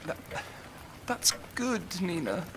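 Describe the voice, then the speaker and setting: A man's voice: high-pitched, big pitch swings, takes it slowly, creaky, a bit bright, a little hiss or hum, heavily acted, rough recording. A young man acting in an audio drama as a lost, self-doubting writer, earnest and subdued. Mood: subdued, tender. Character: lost, troubled young male writer, tender and despairing.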